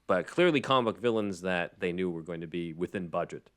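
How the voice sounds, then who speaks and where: A man's voice: low voice, lively intonation, fairly quick, fairly bright tone, clean tone. A man hosting a podcast, explaining and recapping in a conversational way with rambling asides. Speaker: podcast host.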